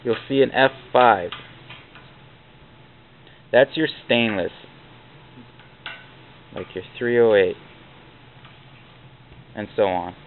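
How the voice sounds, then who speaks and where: Man, low-ish voice, blunt workshop instructor, casual tutorial, tinny camera audio with light hiss. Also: slow, pauses often. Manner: plainspoken, blunt, with fillers like "like". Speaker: welding instructor. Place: workshop, chalking on a steel plate.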